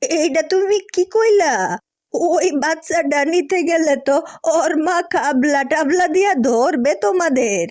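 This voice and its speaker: A woman's voice: extremely high pitch, measured pace, a little mellow, no echo, studio quality. A woman voicing a folksy elderly farm grandmother in a cartoon, with a Bangladeshi accent and colloquial rural speech. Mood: warning. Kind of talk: cartoon dialogue.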